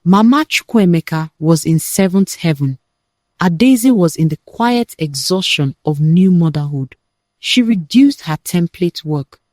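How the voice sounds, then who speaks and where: Low-voiced woman storyteller, dry close sound. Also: animated, a little slow, a little mellow, no room sound. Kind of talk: scripted dramatic narration of a folktale-style family story.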